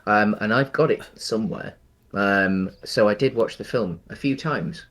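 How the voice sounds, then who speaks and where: A man's voice: on the low side, moderate pace, a bit bright. A man co-hosting a casual film discussion, with a tentative, rambling way of talking. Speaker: podcast co-host.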